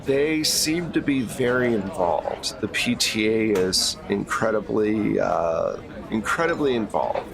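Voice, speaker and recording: A man's voice: fairly low pitch, expressive, takes it slowly, vocal fry, crowd noise. A man speaking as game master and host of a tabletop game, narrating and explaining off the cuff in a casual way.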